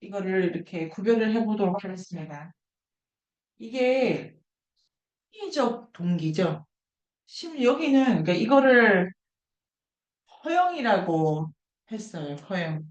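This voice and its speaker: A woman's voice: medium pitch, animated, measured pace, pauses often, echoey room, dull audio. A woman lecturing informally to a seminar group, thinking aloud, posing questions and repeating key words for emphasis. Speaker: lecturer leading an online book seminar.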